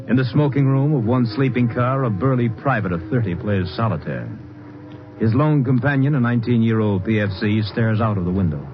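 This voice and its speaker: An American man narrating a 1950s radio drama with measured, dramatic scene-setting. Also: fairly low pitch. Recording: dull 1950s radio recording.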